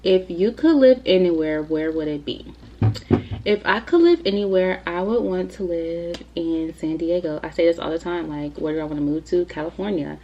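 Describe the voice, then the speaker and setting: A woman's voice: lowish voice, normal speed, lo-fi sound, slight background noise. A woman in her twenties chatting casually to the camera for her video Q&A, rambling with lots of fillers. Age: 20s. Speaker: YouTuber. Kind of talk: casual Q&A vlog.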